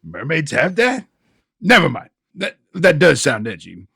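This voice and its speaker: A man playing an anxious film studio boss in a comedic amateur radio play, stammering and hesitant, with a raspy voice. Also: on the high side, huge pitch swings, measured pace, fairly bright tone, no room sound, heavily acted. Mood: hesitant, uneasy. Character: flustered, anxious male film studio boss under pressure.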